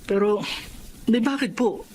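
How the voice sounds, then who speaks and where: Young adult Filipino son, earnest and respectful, radio-drama delivery over faint noise. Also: male voice, high voice, measured pace, a little mellow. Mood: puzzled, suspicious.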